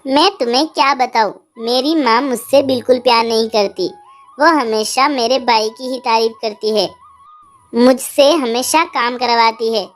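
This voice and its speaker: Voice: medium pitch, steady tone, a little slow, natural voice. A performer voicing a young male calf in a cartoon fable, plaintive and emphatic. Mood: resentful complaint. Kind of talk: cartoon animal fable dialogue.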